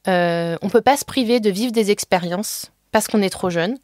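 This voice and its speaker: A woman's voice: mid-range voice, moderate pace, darkish tone, no echo, clean studio sound. Frank French-speaking woman telling her story. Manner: candid, frank storytelling with many fillers and restarts. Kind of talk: casual podcast conversation.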